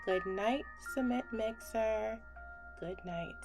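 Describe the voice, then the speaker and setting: A woman reading a children's picture book aloud as a bedtime story, expressive and gentle, with deliberate phrasing. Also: a little high, soft background music.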